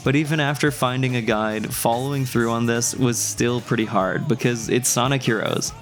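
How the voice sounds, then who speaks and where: A man with a fairly low voice reads a scripted video essay about gaming. His delivery is conversational and wry, like a reviewer airing his gripes. Faint music plays behind him. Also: creaky. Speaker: video game reviewer, video creator.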